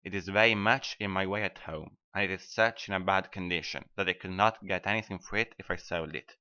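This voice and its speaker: A man's voice: sits low, steady tone, a little fast, sharp tone, clear, resonant tone. A man playing a selfish, sanctimonious miller in a storybook reading, lecturing in a self-righteous manner. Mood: self-important, peevish. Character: selfish, sanctimonious male miller, smugly preaching friendship. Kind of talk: scripted storybook dialogue. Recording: dull, band-limited audio.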